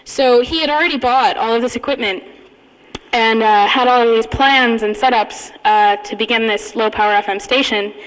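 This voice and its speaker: A woman giving an informal talk, telling a personal story in a halting, amused manner. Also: on the high side, fairly bright tone. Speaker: conference speaker, radio hacker community member. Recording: echoey hall with long reverb.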